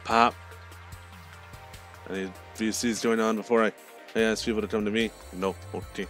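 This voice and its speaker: A man's voice: on the low side, level tone, moderate pace, a bit bright, soft background music. A man chatting casually as a game streamer, teasing and swearing freely. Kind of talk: casual chat while livestreaming a game. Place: live game stream, music behind.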